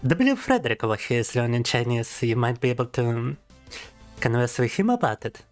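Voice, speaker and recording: A young man chats on his livestream, playful and teasing as he answers chat. His voice sits at an ordinary middle pitch. Faint music plays quietly underneath him. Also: very animated, brisk. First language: Russian. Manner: playful and teasing, reads chat aloud and laughs often. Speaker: VTuber streamer.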